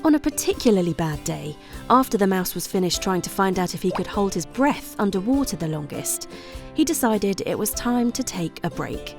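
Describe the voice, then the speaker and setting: A woman narrating a scripted children's bedtime story with expressive, deliberate phrasing. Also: mid-range voice, faint music behind.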